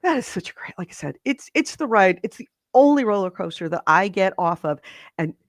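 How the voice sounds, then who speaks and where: A slightly hoarse woman co-hosting a podcast, chatting in a rambling, self-interrupting way. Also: medium pitch, expressive, a little fast, no echo, clean studio sound. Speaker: podcast co-host. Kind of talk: casual chat.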